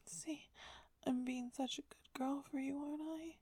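Woman, breathy whisper, stammering, high voice, slow delivery. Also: fairly even intonation, dark tone, no room sound. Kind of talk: whispered erotic audio roleplay.

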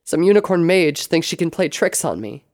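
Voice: mid-range voice, moderate pace, darkish tone, close to an everyday voice. A fierce mare assassin from a fantasy audiobook, put on by the narrator. She is defiant and hard-bitten, hissing and muttering her words with bitter edge.

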